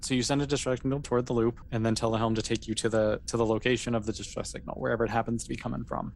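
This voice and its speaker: Fast-talking game master with background noise. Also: mid-range voice, little pitch movement, creaky, darkish tone. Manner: informal, conversational narrating with asides to the player. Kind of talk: improvised narration of a tabletop role-playing game.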